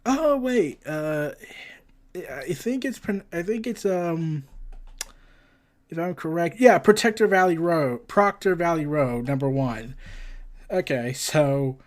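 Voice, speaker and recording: A man with a notably high-pitched voice hosts a casual news roundup. He rambles through his commentary in a scattered, halting way, often getting tongue-tied and circling back to find his place. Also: animated. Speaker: host of a comics and entertainment news show.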